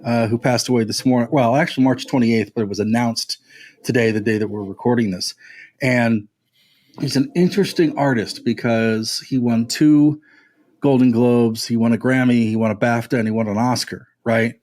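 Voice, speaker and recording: A man's voice: mid-range voice, moderate pace, darkish tone. A man talking casually and at length as a music podcast panelist, with an enthusiastic, discursive manner.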